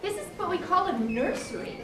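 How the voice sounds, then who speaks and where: Puppet-show ocean guide played by a woman: very high, animated, encouraging teacher, echoey room. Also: brisk. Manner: explanatory, encouraging. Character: cheerful guide teaching about ocean habitats. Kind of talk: children's puppet show acting. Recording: echoey, distant live recording with clear noise.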